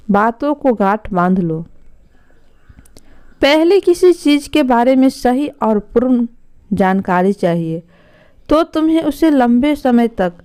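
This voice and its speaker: A wise, patient king voiced by a woman storyteller counsels his sons in a storybook tale. The voice is mellow and clear, with an authoritative, instructive manner. Each piece of advice is laid out slowly. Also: on the high side.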